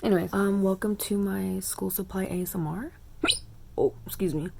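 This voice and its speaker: A woman's voice: medium pitch, steady tone, normal speed, gravelly, warm tone, a little hiss or hum. A young woman chatting casually in a home vlog, rambling with fillers while her phone camera sits on the couch. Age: young adult. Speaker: student vlogger. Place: home room under a loft bed.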